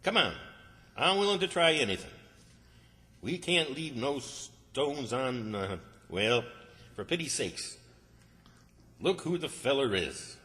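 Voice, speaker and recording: A man's voice: medium pitch, very animated, slow, pauses often, sharp tone, exaggerated voice. A man playing a flustered, bossy rural Southern character in old-time radio comedy, folksy and exasperated. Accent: American South, rural. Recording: echoey hall, long reverb.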